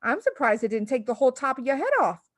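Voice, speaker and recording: A shrill, nagging storybook mother, performed by a woman narrator, scornful and scolding. Her high voice leaps about with very lively swings, in a dry, close sound. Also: fast talker, no echo. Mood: matter-of-fact, scornful. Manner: shrieking, scolding.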